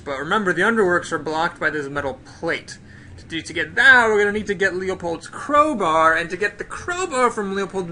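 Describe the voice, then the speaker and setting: A man's voice: high-pitched, sharp tone. A man hosting a casual game play-through, explaining things step by step to his viewers.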